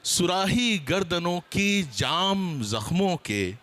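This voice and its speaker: Male seminar panelist, slightly high voice, explanatory address to an audience. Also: big pitch swings, takes it slowly, a bit bright.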